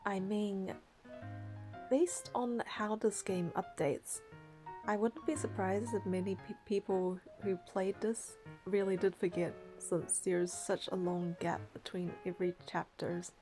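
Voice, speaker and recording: A woman's voice: mid-range voice, fairly even intonation, measured pace, mellow, faint music behind. A woman reading game narration and dialogue aloud, with casual commentary of her own.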